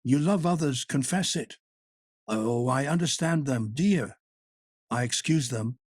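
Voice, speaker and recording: A man's voice: on the high side, lively intonation, moderate pace, a little mellow, breathy edge, no room sound, clean studio sound. A man voicing a desperate, coaxing young woman in an audiobook reading, his delivery emotional and imploring. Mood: pleading.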